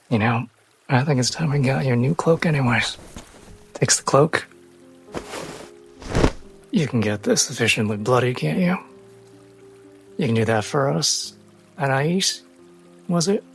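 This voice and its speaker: A man's voice: mid-range voice, expressive, slow, gravelly, pauses often, soft background music. A man playing in a tabletop roleplaying game, narrating and speaking in character.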